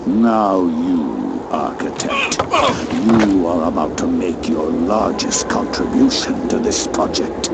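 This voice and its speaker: A wicked, grandiose warlord from a video game, fairly low in pitch and swooping dramatically from line to line. He commands with merciless menace, under loud background noise. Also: very animated, takes it slowly, creaky, full character voice. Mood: menacing, grandiose. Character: wicked, merciless male warlord calling himself God's scourge. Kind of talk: theatrical video game villain acting.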